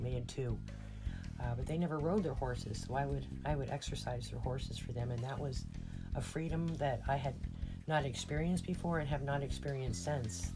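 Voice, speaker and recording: A middle-aged American woman telling personal stories in a casual, rambling, reflective monologue. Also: rumbling low. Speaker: personal storyteller.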